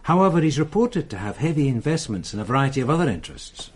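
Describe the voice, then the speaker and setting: An actor playing a tired, methodical male police sergeant in a radio drama, speaking in a measured way. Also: mid-range voice, very animated, slightly rough. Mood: weary.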